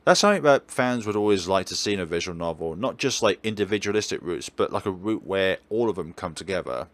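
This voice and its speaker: A man hosting a let's play, chatting through a visual novel in an animated way. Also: low-pitched, fast talker, no echo.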